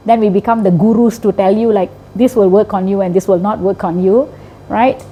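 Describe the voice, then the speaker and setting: A woman's voice: medium pitch, fairly even intonation, brisk, breathy edge, muffled recording. A middle-aged woman teacher with a Malaysian English accent, explaining her work in an interview and often ending points with a tag question. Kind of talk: interview answers.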